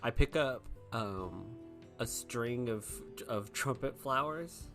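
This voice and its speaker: A man's voice: medium pitch, lively intonation, slow, music in the background. A man playing a tabletop roleplaying game, talking informally and improvising, often repeating his words. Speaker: player in a tabletop RPG podcast. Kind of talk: casual improvised game chat.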